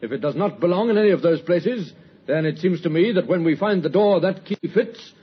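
An actor playing a methodical, authoritative police inspector who questions deliberately, in an old radio drama. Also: male voice, sits high, lively intonation, slight background noise. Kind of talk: vintage radio drama acting. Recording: muffled vintage radio recording.

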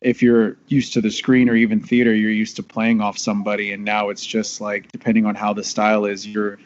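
Fast-talking man on a lo-fi recording. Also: fairly low pitch, monotone, slight background noise. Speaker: voice actor on a panel. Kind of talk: casual panel discussion.

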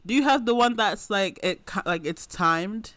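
A young man in college talks through a casual podcast chat, gushing with lots of "like" along the way. His voice sits fairly high. The sound is dry and close, with little room around it. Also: lively intonation, normal speed, fairly bright tone, no echo. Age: young adult. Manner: chatty and enthusiastic, lots of "like" fillers. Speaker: podcast co-host. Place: at home.

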